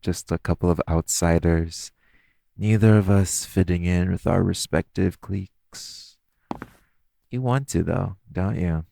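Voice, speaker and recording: A man's voice: sits low, a little slow, vocal fry, warm tone, dry recording. A man playing a flirty, teasing supervillain thief in an audio roleplay, coy and theatrical, drawing out his phrases as he talks to the listener. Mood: smooth, probing.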